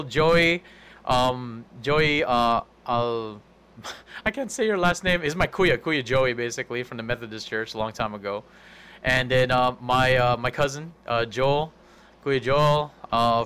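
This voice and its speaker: A man's voice: medium pitch, a bit bright. A man hosts a casual podcast in a plain mid-range voice. He rambles and restarts his sentences, full of fillers. A faint background hum runs under his talk. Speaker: podcast host.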